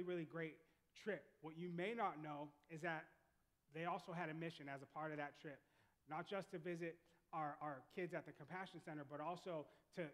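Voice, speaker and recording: A man's voice: fairly high pitch, fairly bright tone, in a large hall. A man hosting an interview on a church stage, enthusiastic and repeating his words. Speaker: pastor interviewing a guest. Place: church sanctuary.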